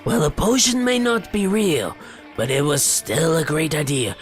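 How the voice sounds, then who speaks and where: A man's voice: on the high side, very animated, measured pace, faint music behind. A man hosting a gaming video, reading the story aloud theatrically and adding casual commentary.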